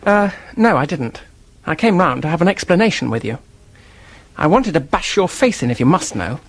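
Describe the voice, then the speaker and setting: An actor playing an earnest, defensive office worker in a radio mystery, husky-voiced, hesitant and blurting, with a temper underneath. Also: male voice, a little high, sing-song, moderate pace, dry recording. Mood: bitter, confessing. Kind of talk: radio drama acting, detective mystery.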